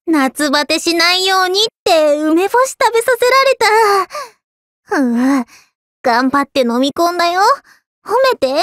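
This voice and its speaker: A woman voicing an easygoing, affectionate teenage schoolgirl in an anime game, with a caring, gently teasing manner. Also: sits high, no room sound, studio quality. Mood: teasing, playful. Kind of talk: scripted anime game voice lines.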